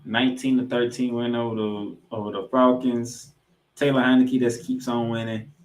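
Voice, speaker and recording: A man with a mid-pitched voice hosts a sports podcast. He recaps football games conversationally, calling out scores and matchups like an announcer. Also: flat delivery, moderate pace, poor audio. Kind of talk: football recap commentary with casual chat.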